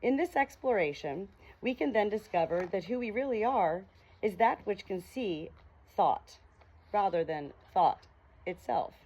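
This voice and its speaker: A woman reading aloud informally with casual asides, speaking in an unhurried way outdoors on a small farm. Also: medium pitch, animated, warm tone. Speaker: audiobook reader and small hobby farm keeper. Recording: noisy outdoor ambience with farm animals. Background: farm animal sounds, ambient noise.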